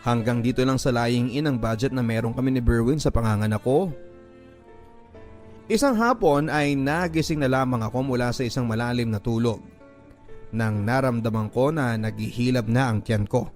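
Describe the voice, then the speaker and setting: A man narrating a listener's letter in first person for a radio drama, reading it dramatically and with feeling, slowing down at the sad parts. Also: mid-range voice, darkish tone, soft background music. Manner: emotive, slowing on sad moments.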